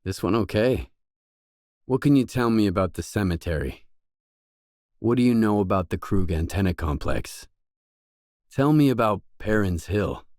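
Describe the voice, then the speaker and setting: A man's voice: on the low side, lively intonation, normal speed, pauses often, darkish tone, dry recording, studio quality, natural voice. An actor playing a wary, pragmatic male loner stalker in a video game, speaking in a terse, blunt, matter-of-fact way. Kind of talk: game dialogue voice lines.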